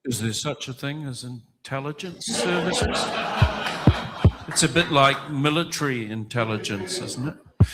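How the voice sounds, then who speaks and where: A man's voice: medium pitch, unhurried, over loud music, rough recording. A man, a book author, answering audience questions at a book launch with wry, chatty examples, speaking into a handheld microphone. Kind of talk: relaxed, joking Q&A answers.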